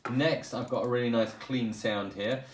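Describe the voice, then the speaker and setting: A man presenting a casual, hands-on audio software tutorial as a producer. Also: mid-range voice, fairly even intonation, moderate pace. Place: indoor room, not a studio. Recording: roomy echo with noise and clicks.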